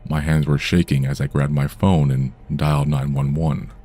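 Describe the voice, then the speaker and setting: A man with an extremely low, dark voice narrates true horror tales in a measured, somber way. His tone is mellow and heavy rather than bright. A faint music bed hums under his reading. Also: little pitch movement, breathy edge. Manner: measured, somber storytelling delivery.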